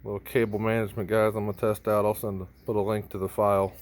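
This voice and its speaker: A man's voice: lowish voice, flat delivery, quick pace. A man narrating a hands-on gear vlog in a casual, informal way, thinking aloud as he works. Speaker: band member showing a PA rack rebuild.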